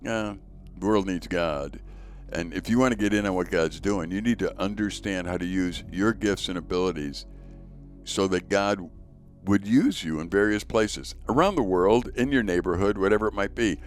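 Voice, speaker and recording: A man hosting a faith podcast, with a very deep voice, talking earnestly and telling stories in casual conversation. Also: moderate pace, faint music behind. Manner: earnest, storytelling, repeats words as he goes. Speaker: podcast host.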